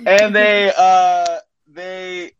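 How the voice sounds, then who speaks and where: A man telling a story in casual conversation as a comedian guest, halting and restarting his sentences as he goes. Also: high-pitched, level tone, muffled recording, a loud crowd. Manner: rambling, halting, self-interrupting.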